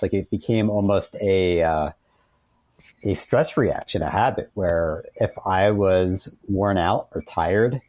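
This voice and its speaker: Male author guest, very low and soft-edged voice, thoughtful conversational delivery. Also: no echo. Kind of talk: reflective conversational interview.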